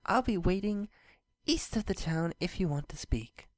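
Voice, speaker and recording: A gentle young pony princess from a storybook, acted out by a reader, speaking high and soft. She is shy and wistful, with a formal, courtly way of putting things. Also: animated, normal speed, no echo, close to an everyday voice. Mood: earnest, wistful.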